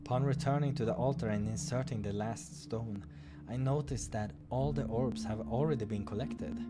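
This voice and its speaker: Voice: mid-range voice, moderate pace, over loud music. A let's play host reading a visual novel aloud, mixing narration with casual, irreverent, sweary asides. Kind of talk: playthrough narration with casual reactions.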